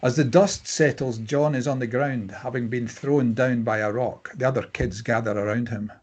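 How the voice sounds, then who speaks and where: Husky man reading stage directions. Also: mid-range voice, steady tone, moderate pace. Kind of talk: scripted narration at a table read.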